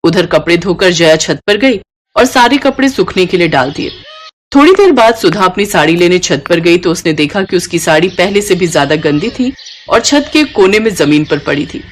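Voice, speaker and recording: A woman's voice: fairly low pitch, big pitch swings, moderate pace, a little hiss or hum. A woman narrator reading a scripted moral tale as a storyteller.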